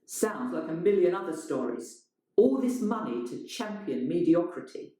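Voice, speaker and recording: A woman's voice: on the low side, big pitch swings, moderate pace, mellow. A woman voicing an angry, sneering man in a dramatised short story, indignant and accusing. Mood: scornful. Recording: strongly echoing, roomy sound.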